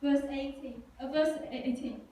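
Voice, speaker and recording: A very echoey room surrounds this woman, who is a little far from the mic. Her voice is high, and she chides and instructs as a presenter in a children's puppet show. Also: steady tone, unhurried, mellow. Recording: echoey room, distant mic, faint noise.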